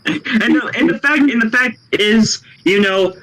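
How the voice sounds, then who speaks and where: A man on a group voice call, complaining in a drawn-out, deliberate way. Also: high voice, crowd noise, poor audio. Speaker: call guest. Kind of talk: casual group voice chat.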